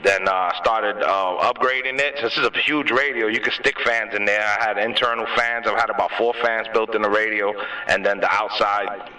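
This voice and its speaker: A man's voice: fairly low pitch, fairly even intonation, normal speed, bright, forceful tone. A man chatting casually on a radio, using slangy, teasing talk. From New York. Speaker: CB radio operator. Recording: noisy, distant-sounding two-way radio transmission.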